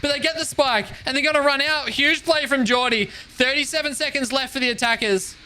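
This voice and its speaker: Very high-voiced excited male caster. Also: background noise. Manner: fast, shouting at big plays. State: losing his voice. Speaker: esports caster. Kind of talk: excited play-by-play commentary.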